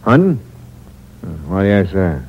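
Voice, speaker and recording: Cold-blooded young American killer, 1940s radio noir. Low voice, animated intonation, terse slang. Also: male voice, moderate pace, slightly rough, exaggerated voice. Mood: wary, smooth. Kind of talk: 1940s radio thriller acting, first-person hardboiled narration. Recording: muffled old radio recording with hum and hiss.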